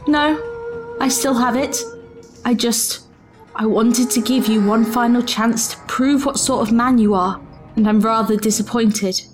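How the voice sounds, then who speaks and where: A woman's voice: fairly high pitch, measured pace, faint music behind, lightly acted. A woman playing a proud, distrustful time traveller in an audio drama, formal, pointed and challenging. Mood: disappointed.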